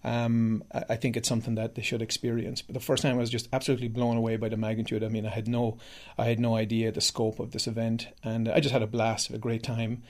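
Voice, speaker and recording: An Irish man co-hosting a radio show chats easily and loosely, with plenty of ums and uhs. His voice sits at a middle pitch. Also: fast talker. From Ireland. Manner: relaxed, chatty, many fillers. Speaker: radio co-host. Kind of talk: casual radio chat.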